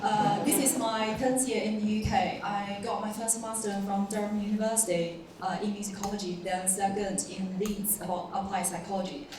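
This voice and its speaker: A woman's voice: mid-range voice, fairly even intonation, normal speed, hardly any pauses. A woman in her thirties from China, a doctoral student introducing herself on a panel in a friendly, joking and slightly nervous way. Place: large conference hall. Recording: echoey hall, distant mic, some noise.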